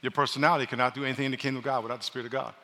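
A pastor preaching a sermon, loud and joking, calling on his congregation to answer back. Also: medium pitch, little pitch movement, very fast. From New York. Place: large church hall. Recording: live sermon in a reverberant hall.

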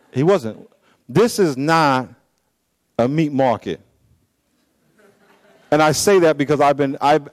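A man in his fifties, a pastor preaching a blunt, joking sermon to his congregation, with swinging pitch and sudden pace shifts. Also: no room sound. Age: 50s.

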